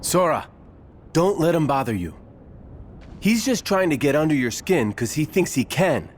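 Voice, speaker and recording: A man's voice: fairly high pitch, very animated, moderate pace, a little hiss or hum. A man playing an earnest, protective teenage boy hero in a video game, sincere and determined. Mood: reassuring, resolute. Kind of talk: dramatic video game acting.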